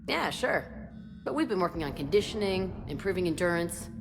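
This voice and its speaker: An actress playing a tough, foul-mouthed, fiercely protective young combat instructor in a video game, brash and sardonic. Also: female voice, on the low side, big pitch swings, normal speed, noisy background. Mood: brash, confiding. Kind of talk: video game dialogue acting.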